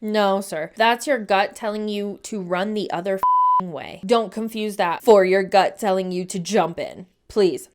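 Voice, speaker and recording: A woman's voice: mid-range voice, huge pitch swings, measured pace. A woman giving earnest reaction commentary, pleading with viewers.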